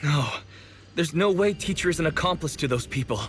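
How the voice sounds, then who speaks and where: An actor playing a troubled, bewildered young student in a game cutscene, hushed and questioning, with music behind. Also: male voice, fairly high pitch, lively intonation, a little fast, lightly acted. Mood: disbelieving, pained. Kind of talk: dramatic game cutscene acting.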